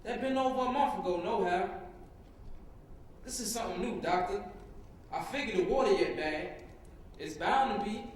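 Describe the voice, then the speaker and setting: High-voiced teen boy as a stubborn sick man, echoey. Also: normal speed, long pauses, close to an everyday voice. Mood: dismissive, ailing. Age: teenager. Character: sick, stubborn Southern working man making light of it. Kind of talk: dramatic reading on stage. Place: public reading in a hall. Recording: very echoey hall, distant mic, faint noise.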